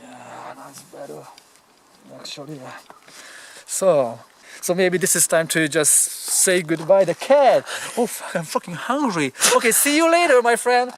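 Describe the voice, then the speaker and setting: A man's voice: on the high side, lively intonation, a little slow, creaky. A young Hungarian man with a Hungarian accent, chatting casually in English for a vlog outdoors in the cold, laid-back and swearing freely.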